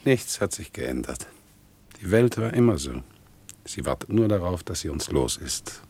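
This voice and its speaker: An actor playing a worn, bitter, defiant old war veteran in a German radio play, speaking in a brooding, deliberate way. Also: male voice, sits low, sing-song, background noise, full character voice. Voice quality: gravelly, husky. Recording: 1960s radio play recording.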